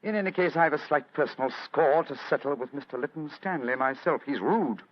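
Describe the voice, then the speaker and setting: An actor playing a courtly, amused older British gentleman detective in a radio drama, genteel and wry, with hesitant murmurs. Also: fairly high pitch, a little fast, lightly acted. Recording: dull lo-fi radio drama recording.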